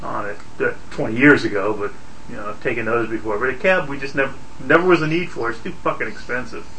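A man's voice: mid-range voice, fast talker, pushed and bright, rough recording. A man from the countryside chatting casually in a blunt, profane way. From rural countryside. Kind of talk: casual chat. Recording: faint ambient background noise.

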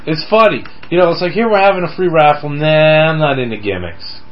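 A man's voice: on the high side, fairly quick. A man co-hosting a casual podcast chat, joking and asking follow-up questions. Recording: dull lo-fi recording with faint hiss.

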